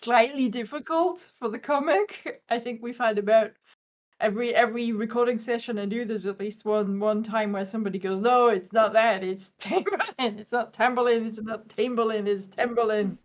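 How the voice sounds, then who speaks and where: High-pitched woman on a dull mic. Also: fairly even intonation, moderate pace. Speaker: voice actor on a panel. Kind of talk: casual anecdote telling.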